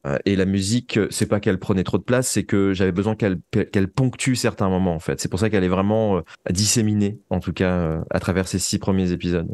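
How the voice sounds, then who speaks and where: A man's voice: deep voice, steady tone, fast, darkish tone, dry recording, clean studio sound. A man speaking as an interview guest about his creative work, reflective and often searching for words. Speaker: interview guest, audio fiction writer and composer.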